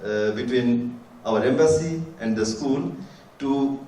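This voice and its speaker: A man gives an earnest, formal address to a school audience, restarting his phrases and filling pauses with "uh." His pitch sits in a normal middle range. He is heard from a distance in a reverberant hall. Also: dark tone, slight background noise. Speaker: speaker at a school ceremony. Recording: echoey hall, voice from far off.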